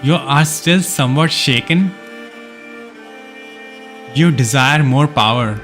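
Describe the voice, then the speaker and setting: A man's voice: mid-range voice, slow pace, fairly bright tone. Male game streamer reading aloud over music. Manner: stumbles over words and corrects himself while reading. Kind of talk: live let's play, reading game story text aloud with casual asides.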